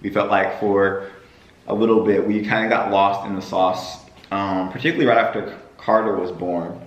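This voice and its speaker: A young man with a hoarse, worn-out voice chatting casually on his family vlog, with a playful preacher-like cadence. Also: low voice, fairly even intonation, moderate pace, roomy sound, highs cut off. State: hoarse from screaming at games. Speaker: vlogger.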